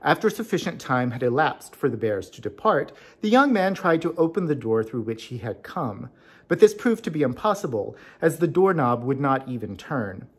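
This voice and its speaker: A man's voice: medium pitch, very animated, normal speed, slightly rough. A man introducing and reading a story aloud in a storytelling style.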